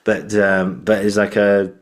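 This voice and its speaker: A man hosting a casual interview, speaking in a halting, earnest, rambling way. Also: lowish voice, level tone. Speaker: podcast host, interviewer.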